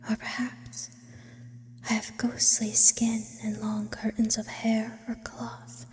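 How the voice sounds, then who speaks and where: A breathy, whispered female demon acted out by a woman hangs in long reverb. She is eloquent, brooding and lonely, an immortal creature of sleep paralysis in an ASMR roleplay. Her words come slowly, with lingering pauses and very little swing in pitch. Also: monotone, a little mellow. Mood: eerie, intimate, musing. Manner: slow, philosophical, ornate wording with lingering pauses.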